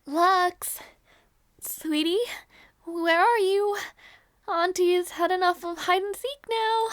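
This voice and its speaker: Casting: female actor for tender princess aunt in audio roleplay, high voice, very dry close sound, doting and coaxing. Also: fairly even intonation, slow, a bit bright, no echo, heavily acted. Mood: playful, calling, then musing. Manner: sweet, coaxing, sighing. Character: gentle doting princess aunt minding her little nephew.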